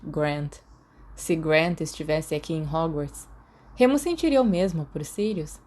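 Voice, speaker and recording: A woman's voice: low-pitched, a little slow, darkish tone. A Brazilian woman reading a story aloud as scripted audiobook narration. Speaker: podfic narrator.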